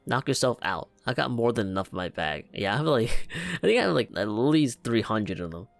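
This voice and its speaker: A man's voice: mid-range voice, very animated, brisk, gravelly, dry recording. A young man giving casual reaction commentary as he plays a game. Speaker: gaming let's play host. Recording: faint game music behind.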